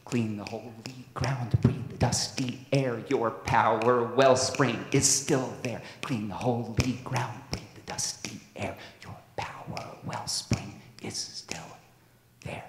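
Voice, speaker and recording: A man playing a tender, proud, aging father rodent in a deliberate, solemn, theatrical dramatic poetry reading. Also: medium pitch, steady tone, slight background noise, lightly acted. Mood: soothing, solemn. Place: stage in a large hall with audience. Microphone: microphone on a stand. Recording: echoey hall, stage microphone.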